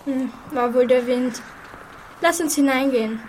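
School kid acting as troubled orphan girl, high young voice, bit of background noise, radio play. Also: a little slow, a little mellow, low-quality recording. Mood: casual. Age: child pupil, about 8th grade or younger. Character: Emily, troubled thirteen-year-old orphan girl.

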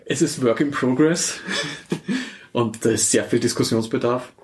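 A man's voice: mid-range voice, moderate pace, darkish tone. A man with an Austrian accent giving conversational answers as an interview guest.

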